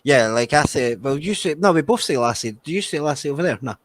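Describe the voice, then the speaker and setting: A man's voice: a little high, animated, fast talker, dry recording. A man with a Scottish accent chatting casually on a livestream, telling stories full of fillers and swearing. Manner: sweary, filler-heavy storytelling.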